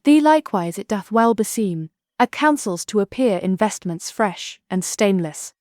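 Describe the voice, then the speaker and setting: A woman's voice: mid-range voice, animated, normal speed, a little mellow, no room sound, studio quality, natural voice. A woman playing a young royal maiden, a dutiful daughter speaking courteous, archaic verse in an audio drama. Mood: polite pleading.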